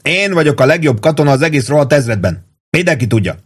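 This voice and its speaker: A man reading an audiobook puts on a furious, impatient army sergeant. The voice is pushed high and loud with a rough edge, ranting and thundering with vain indignation. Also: close to an everyday voice. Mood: boastful, angry. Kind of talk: dramatized audiobook dialogue.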